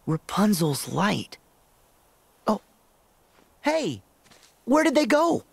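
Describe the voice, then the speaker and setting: A man's voice: high voice, wildly swooping pitch, extremely slow, gravelly, slightly rough, dry recording, heavily acted. A man playing an earnest, plucky teenage boy hero in a video game, blunt and casual in manner. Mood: concerned, searching. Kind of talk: video game cutscene acting.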